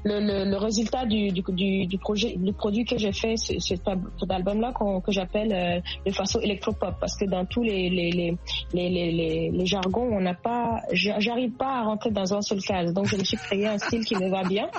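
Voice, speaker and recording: A woman's voice: medium pitch, steady tone, normal speed, darkish tone, faint music behind. Hesitant woman singer, dull sound. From Burkina Faso. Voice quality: hesitant, repeats words, laughs often. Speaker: singer guest. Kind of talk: radio interview, casual chat.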